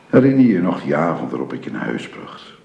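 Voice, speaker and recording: Deep, gravelly, solemn father. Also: wildly swooping pitch, fast talker, lo-fi sound, full character voice. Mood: gentle, grave. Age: middle-aged. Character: earnest, protective middle-aged father, grave and caring. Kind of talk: radio drama acting.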